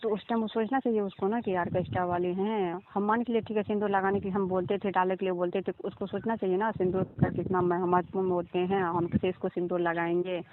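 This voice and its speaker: A woman's voice: lowish voice, level tone, fast, few pauses, noisy background. A woman from Bihar with a Bihari accent, defending herself insistently and colloquially in a recorded phone interview. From Bihar, India. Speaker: interviewee, orchestra stage dancer. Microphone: phone call. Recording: tinny phone-line call recording.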